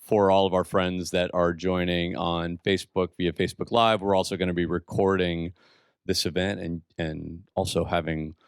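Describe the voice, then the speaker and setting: A man hosting a university event, chatting and joking as he introduces the guest. His voice is smooth and fairly low, picked up close on a handheld mic with a very dry sound. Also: level tone, normal speed, no echo. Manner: chatty, jokey, many fillers. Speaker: event host, executive director. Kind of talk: welcoming introduction. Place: university entrepreneurship floor. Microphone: handheld microphone.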